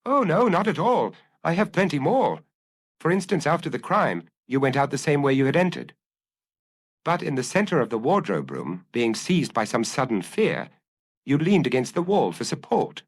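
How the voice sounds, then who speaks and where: A man's voice: on the high side, sing-song, normal speed, slightly rough, pitched above the performer's own voice, heavily acted. Man voicing a dry, hard, commanding ex-inspector. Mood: relentless, precise. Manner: dry, hard, firm and precise. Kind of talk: audiobook character acting.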